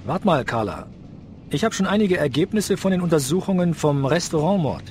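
Actor as a lively, high-pitched male investigator. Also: brisk, slight background noise, natural voice. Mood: businesslike. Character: male police investigator, friendly, businesslike colleague. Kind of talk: audio drama acting.

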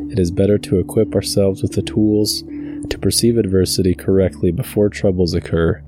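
A man guiding a meditation from a script in a calm, measured, soothing way. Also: deep voice. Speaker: meditation guide, channel host. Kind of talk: scripted guided meditation narration.

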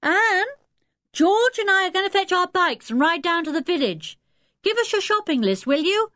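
Woman as a British teenage boy, brisk, energetic, calling out, raised pitch, animated storybook delivery. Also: dry recording, studio quality. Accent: British English. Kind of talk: children's audiobook character acting.

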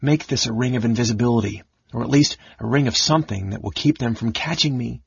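A man voicing a small, excitable kender from a fantasy storybook, chattering in a breathless, rambling way. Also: fast, creaky, husky, no echo, lo-fi sound. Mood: pleading. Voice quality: squeaky when transformed.

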